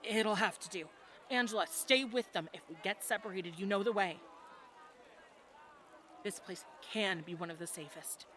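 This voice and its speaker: A woman's voice: mid-range voice, moderate pace, long pauses, fairly bright tone, some chatter behind, a little hiss or hum, close to an everyday voice. A woman playing an urgent, commanding guide who steers companions away from danger in a fantasy audio roleplay.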